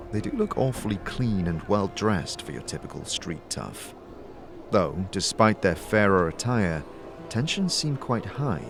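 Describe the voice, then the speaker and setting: A man's voice: lowish voice, slight background noise. A man narrating a fantasy story to the listener as game master, in a scripted, dramatic style. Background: background music.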